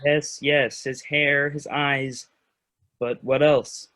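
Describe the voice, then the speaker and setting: Casting: wry, impatient sidekick friend played by a man, medium-pitched voice, helpful, children's play. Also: little pitch movement, takes it slowly, a bit bright. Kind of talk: children's theater staged reading.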